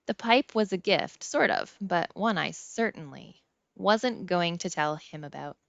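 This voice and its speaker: A woman's voice: on the low side, fairly bright tone, dry recording. A woman reading scripted first-person fiction as an audiobook narrator. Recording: slightly dull, dry close recording.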